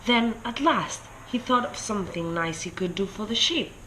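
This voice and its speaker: A woman reading a children's picture book aloud in a deliberate, expressive storytelling way. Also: medium pitch, a little hiss or hum, poor audio. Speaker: story reader.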